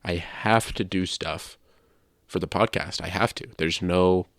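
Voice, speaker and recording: Low gravelly guy chatting on a gaming podcast, close dry sound. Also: fairly even intonation, measured pace, fairly bright tone, no echo. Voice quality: low creaky voice. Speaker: podcast co-host. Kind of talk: casual podcast chat about video games.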